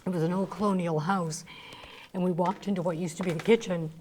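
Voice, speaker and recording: Female host, slightly low mellow voice, eager storyteller and questioner. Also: fairly even intonation, fairly quick, a little hiss or hum. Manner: chatty, eager, telling anecdotes and asking questions. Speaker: radio show host. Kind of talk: casual interview chat.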